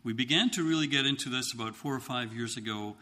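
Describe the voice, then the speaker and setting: A man's voice: mid-range voice, bright, forceful tone. Man, 50s, light Scottish accent, missionary addressing a congregation, hesitant delivery in a reverberant hall. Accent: slight Scottish. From Scotland. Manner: halting, many ums and restarts. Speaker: missionary speaker. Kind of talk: church talk to congregation.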